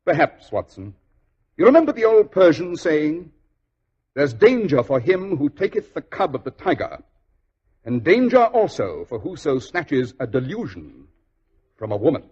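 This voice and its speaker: An actor playing a shrewd, stern gentleman detective in a precise, theatrical 1940s radio style. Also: on the high side, big pitch swings, a little slow, heavily acted. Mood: reflective. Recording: dull, husky old radio recording.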